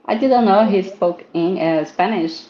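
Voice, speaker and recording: A woman co-hosting a casual interview, chatty and quick to laugh. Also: medium pitch, warm tone, dull audio.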